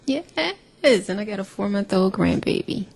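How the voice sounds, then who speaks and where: A woman's voice: mid-range voice, lively intonation, unhurried, creaky, a little mellow, breathy edge. An American woman in her forties hosting a casual radio talk show, chatting playfully and repeating phrases for emphasis. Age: 40s. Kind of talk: casual chat. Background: music.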